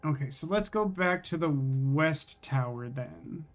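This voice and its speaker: A man with a slightly high-pitched voice commentates his game live over faint music. He talks to viewers casually and thinks out loud in a wry, rambling way. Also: animated, a little slow. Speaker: video game livestreamer.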